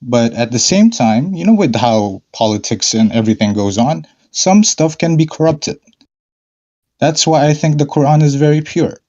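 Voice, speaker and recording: A man calling into an online discussion, speaking hesitantly with many fillers and frequent throat clearing. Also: a little high, lively intonation, normal speed, a little mellow, muffled recording. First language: not Arabic. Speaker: caller. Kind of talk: casual debate call-in.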